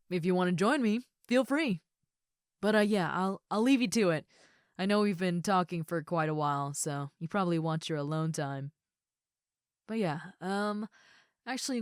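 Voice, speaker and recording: A woman's voice: lowish voice, clear, resonant tone, no room sound, clean studio sound, lightly acted. A woman playing a muscular female ogre roommate in a roleplay audio, friendly, easygoing and caring, with a casual, chatty way of talking and hesitant asides. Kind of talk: roleplay audio acting, addressed to the listener.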